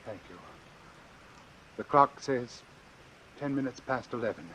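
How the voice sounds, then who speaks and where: An actor playing an anxious, doomed man in a vintage radio suspense drama, speaking in a hesitant, measured way. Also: mid-range voice, fairly bright tone, a little hiss or hum, natural voice, poor audio. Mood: shaken. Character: anxious doomed man who arranged his own killing. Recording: vintage radio recording with music and rain. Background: music and rain.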